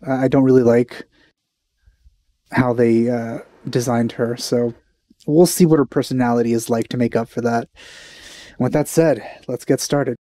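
A man's voice: mid-range voice, dark tone, dry recording. A young man giving casual reaction commentary as a video host, hesitant and meandering, into a headset mic.

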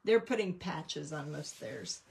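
Slow-paced, chatty woman craft host. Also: sits low, expressive, vocal fry, a little mellow. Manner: rambling, chatty show-and-tell. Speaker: painting teacher and craft livestream host. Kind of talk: casual livestream chat. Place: indoors at a craft table.

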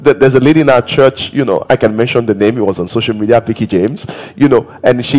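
A man in his thirties with a Nigerian English accent, preaching a lively sermon on a church stage, acting out quoted voices and sometimes breaking into song or shouts. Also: medium pitch. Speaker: pastor.

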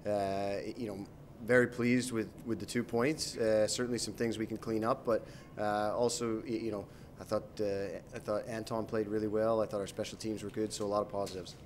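A man in his thirties, a hockey coach, answering post-game questions in a measured way, with many fillers and restarts, into a handheld mic. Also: fairly low pitch, level tone, a little hiss or hum. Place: arena interview backdrop, indoors. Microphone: handheld mic held by interviewer.

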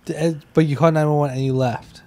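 A man's voice: a little high, fairly even intonation, quick pace, a little mellow, dry recording. A man in his thirties bantering casually as a podcast co-host, teasing and interjecting, speaking into a close handheld mic. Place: small indoor podcast room on couches. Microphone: handheld microphone held close.

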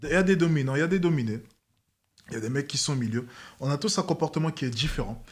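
A man's voice: a little high, rapid-fire. A young man hosting a livestream chat from an indoor set with a desk microphone, teasing his guest and calling out her claims. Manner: teasing, repeats "cap" to tease. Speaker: streamer host. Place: indoor living room set. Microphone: headset with desk microphone.

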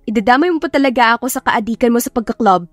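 A young Filipina career woman played by a female narrator in an audio romance, casual and wry. Her voice sits fairly high, recorded dry over music. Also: animated, fast, no echo, natural voice. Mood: casual, teasing. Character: good-humoured young professional woman, romance heroine. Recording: music behind the voice.

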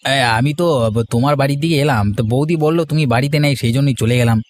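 A man's voice: mid-range voice, very fast, dry recording, lightly acted. A man playing a hesitant farmer in a cartoon story. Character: hesitant farmer friend seeking help. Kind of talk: cartoon moral-story dialogue.

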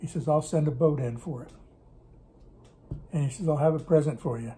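An older man speaks with a mellow, slightly high voice at a kitchen table. He rambles warmly through memories, stopping to fix words midway. The camera sits on the table close in front of him. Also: brisk. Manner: rambling, self-correcting storyteller. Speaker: interviewee recalling business in Russia. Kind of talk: casual storytelling interview. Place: home kitchen table, indoors.

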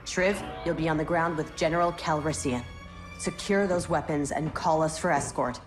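A woman's voice: low-pitched, normal speed, natural voice. An actress playing a commanding female special forces leader turned rebel in a video game. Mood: grave, commanding. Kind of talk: video game dramatic acting. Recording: loud game music behind voice.

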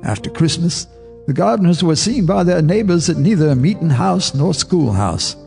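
An actor narrating as an old neighbor recalling dark events, grave and measured, in a radio drama. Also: male voice, a little high, animated, gravelly, faint music behind. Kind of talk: scripted storytelling narration.

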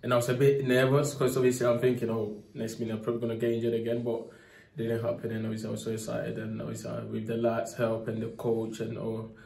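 A young man, a footballer, speaks softly after a match, filling his answers with fillers and "obviously", into a phone held close in a press room. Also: medium pitch, monotone, fast talker, creaky. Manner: soft-spoken, many fillers, leaning on "obviously". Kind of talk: post-match interview. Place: indoor press room in front of a sponsor board. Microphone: phone held near by the reporter. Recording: echoey room.

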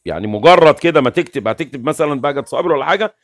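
A man's voice: on the high side, racing, dry recording, clean studio sound. A man in his forties with an Egyptian Arabic accent, hosting a livestream from a small room and explaining things insistently, waving his hands as he talks. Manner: animated, gestures constantly, explains insistently. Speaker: livestream host. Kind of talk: casual livestream talk. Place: small room with curtains, indoors.

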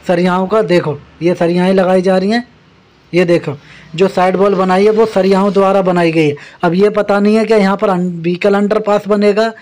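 Male vlogger, high monotone, outdoor site walk, phone camera narration. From India. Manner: repeatedly points things out to viewers, addressing them as friends. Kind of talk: casual narration of a road construction tour. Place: outdoors at a construction site beside a highway.

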